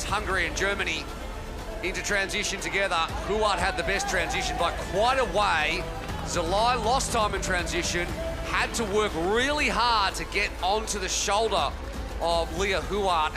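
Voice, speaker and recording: A man commentating on a race recap as a sports broadcaster. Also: high voice.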